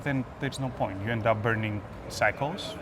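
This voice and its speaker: A man with a Greek accent giving conversational interview answers as an expert guest, pausing between phrases. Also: mid-range voice. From Greece. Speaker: guest, application security expert. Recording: noisy urban ambience behind voice.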